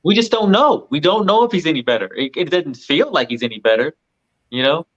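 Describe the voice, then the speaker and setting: A man's voice: a little high, lively intonation, fairly quick, fairly bright tone, muffled recording. A man chatting casually and colloquially on a film review panel, somewhat hesitant in his phrasing. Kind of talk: casual group film discussion.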